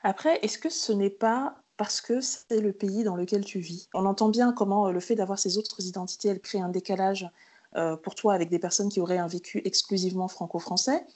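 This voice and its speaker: A woman's voice: mid-range voice, fairly even intonation, a little fast, a little mellow. A woman hosting a podcast, asking long, engaged, curious questions. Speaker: podcast host. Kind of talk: podcast interview.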